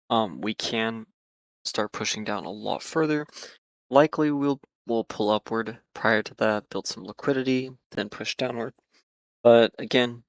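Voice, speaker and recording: Man, low gravelly voice, hoarse, filler-heavy chart commentary, dry close mic. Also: a bit bright, no room sound. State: hoarse, losing his voice. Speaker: market analysis host. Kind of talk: casual trading chart commentary.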